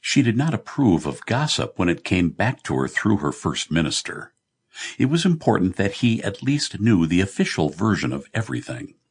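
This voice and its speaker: A man reads comic fantasy fiction as an audiobook narrator. His voice is low and a little husky. The storytelling is measured, with intonation that moves up and down a great deal. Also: big pitch swings.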